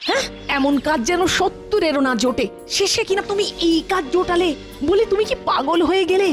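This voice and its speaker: A woman's voice: very high voice, brisk, soft background music. A woman voicing a suspicious, nagging village housewife in an exclamatory cartoon dub. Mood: scolding. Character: blacksmith's wife, suspicious scolding village housewife.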